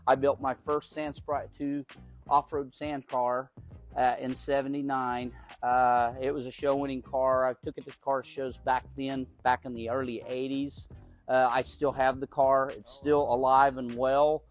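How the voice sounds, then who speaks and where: A man's voice: mid-range voice, level tone, soft background music. Folksy man touring his garage. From Oklahoma. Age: middle-aged. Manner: folksy, unhurried, repeats words while thinking. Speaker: car collector giving a garage tour. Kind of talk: casual show-and-tell. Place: large garage.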